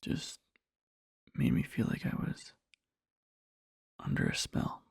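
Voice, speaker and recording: A man playing an adoring boyfriend in a soft ASMR roleplay, murmuring slowly and tenderly to a sleeping partner in a breathy, husky whisper. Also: no echo, studio quality, lightly acted. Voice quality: breathy, husky, with creaky fry. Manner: slow, halting, tender murmuring.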